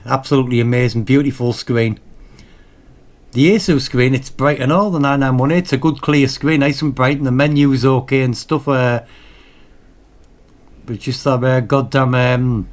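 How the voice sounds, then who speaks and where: A man talking off the cuff as a radio hobbyist reviewing his gear, in a halting, repetitive, enthusiastic way. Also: mid-range voice. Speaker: radio hobbyist presenting own channel. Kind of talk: casual off-the-cuff equipment review.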